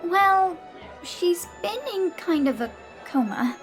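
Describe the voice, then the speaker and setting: Excitable, high-voiced young pony traveler. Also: female voice, takes it slowly, soft background music, full character voice. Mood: worried, explaining. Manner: polite, eager, emotional. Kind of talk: audio drama acting.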